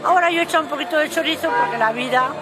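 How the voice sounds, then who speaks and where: This is an older woman. She explains casually and repeats phrases for emphasis. A loud crowd surrounds her in the open air. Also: high voice, racing. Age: 60s or 70s. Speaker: cooking contest entrant. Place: outdoor town square. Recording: outdoor camera sound with loud crowd.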